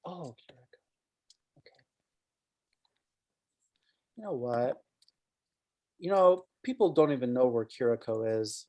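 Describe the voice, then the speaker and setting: A woman with a low voice that swings widely in pitch coaches a candidate through interview questions. She probes, restates points, and thinks aloud as she shapes the answers. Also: slow pace, long pauses. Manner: animated, probing, thinks aloud.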